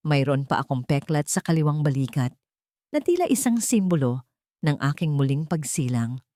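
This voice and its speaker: A Filipino woman reading a first-person drama story aloud in a measured, earnest way. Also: low-pitched, sing-song, mellow, no room sound, studio quality. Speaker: audiobook narrator.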